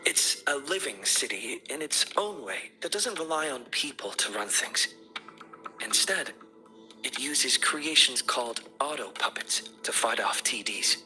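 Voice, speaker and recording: An actor playing a thoughtful, courteous academy researcher in a video game, explaining things in an articulate, deliberate way. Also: male voice, mid-range voice, expressive, bright, forceful tone, soft background music, low-quality recording. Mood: grave, explanatory. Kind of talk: scripted video game exposition.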